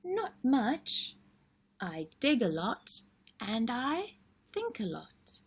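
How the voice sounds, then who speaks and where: A woman's voice: a little high, huge pitch swings, extremely slow, slightly rough, exaggerated voice. A woman voicing a small, earnest young male wombat who answers plainly and modestly in a children's storybook. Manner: plain, simple, repetitive answers.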